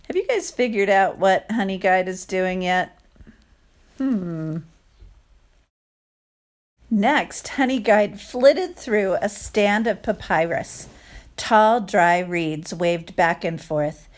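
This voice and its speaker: A woman reading a picture book aloud to children, expressive, with chatty asides and questions to listeners. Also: medium pitch, slow, pauses often. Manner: expressive, acts out sound words, asks listeners questions. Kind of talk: animated read-aloud storytelling with chatty asides.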